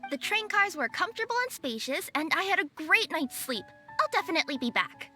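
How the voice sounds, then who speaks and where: High, bright, breezy young yokai courier. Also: female voice, big pitch swings, brisk, soft background music, heavily acted. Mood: grateful, upbeat. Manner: chatty, breezy. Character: confident young female yokai courier, playful, breezy, curious. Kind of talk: video game voice acting.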